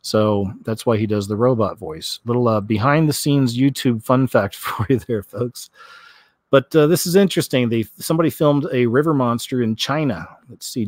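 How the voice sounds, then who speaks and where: A man's voice: medium pitch, darkish tone, no room sound. A man hosting a vlog, commenting on clips and news in a casual, folksy, rambling way. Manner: folksy, rambling, repeats himself.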